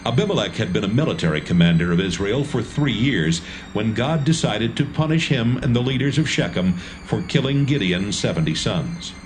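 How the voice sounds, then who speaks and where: A man narrating a dramatised Bible reading in a steady, measured storytelling manner. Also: fairly low pitch, a little hiss or hum, rough recording. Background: music score.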